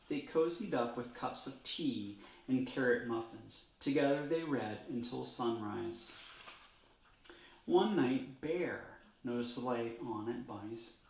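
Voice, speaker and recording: Man, children's storybook reader, slow pace, creaky voice, expressive emphasis, echoey room. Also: mid-range voice. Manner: expressive, drawn-out phrasing with emphasis. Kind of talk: read-aloud children's storybook narration.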